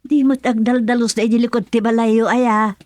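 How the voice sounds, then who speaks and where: A woman's voice: fairly high pitch, level tone, normal speed, a little mellow, no room sound, close to an everyday voice. An actress playing a practical, mildly exasperated village mother in a radio serial, with a Filipino accent and homely, exclamatory speech.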